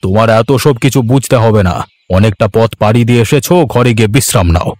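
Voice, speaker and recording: A man's voice: medium pitch, fast, darkish tone, dry recording, natural voice. A man playing a haughty, middle-aged village headman in a children's cartoon, speaking in a bossy way. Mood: dismissive. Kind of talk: Bengali children's cartoon voice acting.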